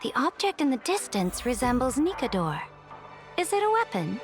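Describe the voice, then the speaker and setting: A woman's voice: high-pitched, sing-song, normal speed, soft background music. A woman voicing a proud young conquering empress in a video game, speaking formally and haughtily. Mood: haughty, curious. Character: proud young-looking female conquering emperor, regal and imperious. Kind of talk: video game character acting.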